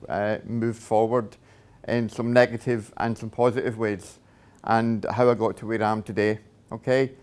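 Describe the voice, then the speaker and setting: A middle-aged man with a Scottish accent tells his own life story to an audience in a plain, direct way, with many "uh" fillers and "okay?" check-ins. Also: medium pitch. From Scotland. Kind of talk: personal testimony talk.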